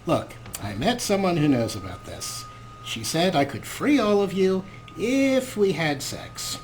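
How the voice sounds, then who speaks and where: A man's voice: high-pitched, big pitch swings, measured pace, a little hiss or hum. A man hosting a gaming show with casual let's-play commentary, talking in a wry, rambling way.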